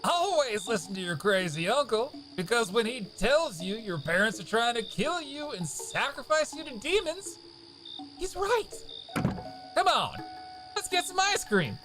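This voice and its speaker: Vindicated crazy uncle for horror-comedy drama, female actor, lively higher voice over music. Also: unhurried. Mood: smug, affectionate.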